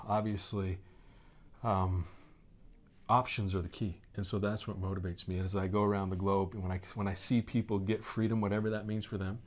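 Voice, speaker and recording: Low-voiced American man in his forties. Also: darkish tone. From United States. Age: 40s. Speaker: interview guest, network marketing executive. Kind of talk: conversational interview answers.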